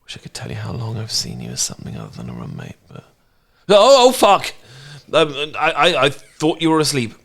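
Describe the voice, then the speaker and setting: A man acting as a tender, bashful housemate in an ASMR romance drama. He has a mid-pitched voice and talks softly and intimately, with a halting, smitten manner. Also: wildly swooping pitch, creaky, full character voice. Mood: hushed longing, then flustered, startled. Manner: halting, gentle, intimate, flustered.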